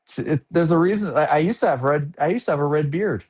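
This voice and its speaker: A man in his fifties, an artist being interviewed, who speaks in an unhurried way and often laughs while talking. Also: a little high, lively intonation, a little mellow, slightly rough. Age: 50s. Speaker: guest artist. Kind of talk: casual interview chat.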